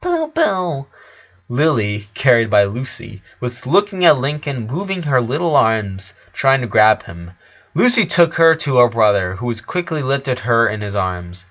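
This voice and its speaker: A man's voice: medium pitch, expressive, normal speed, a bit bright. Man narrating a story, muffled recording. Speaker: fan fiction reader. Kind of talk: scripted story narration with character voices.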